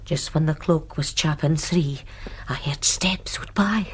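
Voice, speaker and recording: An actress playing a caring, superstitious Scottish housekeeper in a radio drama, speaking homely dialect. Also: female voice, sits low, unhurried, breathy edge, in a whisper. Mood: anxious, caring. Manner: homely, solicitous dialect speech.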